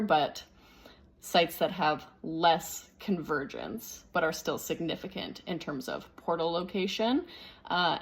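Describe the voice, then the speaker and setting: A woman speaking as a spiritual teacher, explaining things unscripted and thinking aloud in measured phrases. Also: sits low, husky.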